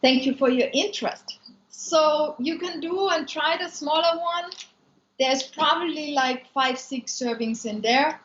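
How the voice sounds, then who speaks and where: A woman's voice: high voice, a little slow, a bit bright, slight background noise. Austrian lady, german accent, rambling live-stream chat, dull lo-fi sound. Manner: chatty, loose phrasing with many ums. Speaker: food maker and business owner. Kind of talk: live-stream product talk, answering viewer comments. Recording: echoey room, dull lo-fi sound.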